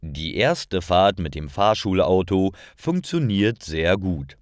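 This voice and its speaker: A man reading a scripted story for language learners, with careful, clearly separated phrasing. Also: low-pitched, animated, takes it slowly, dry recording, clean studio sound. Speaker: narrator of language-learning stories.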